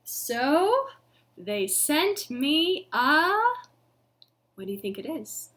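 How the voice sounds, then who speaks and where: A woman in her thirties hosting an interactive storytime for young children, with expressive, sing-song delivery and exaggerated reactions. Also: sits high, extremely slow. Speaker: library storytime host. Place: living room at home.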